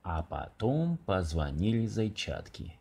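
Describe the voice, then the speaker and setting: Low-pitched, husky man reading a children's tale. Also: very animated, slow pace, a little mellow. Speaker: storybook narrator, reader. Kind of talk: expressive scripted reading of a children's verse tale.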